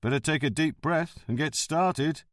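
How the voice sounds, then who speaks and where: A man's voice: on the high side, unhurried, dry recording, clean studio sound, voice pushed up, close to an everyday voice. A man voicing a harried police officer who delivers bad news casually and bluntly. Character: harried male police officer carrying paperwork.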